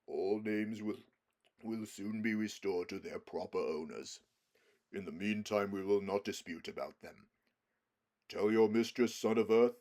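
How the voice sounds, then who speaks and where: A majestic, grave lion king acted out by a man reading a storybook speaks in a low voice. He is calm and measured, every phrase carrying command.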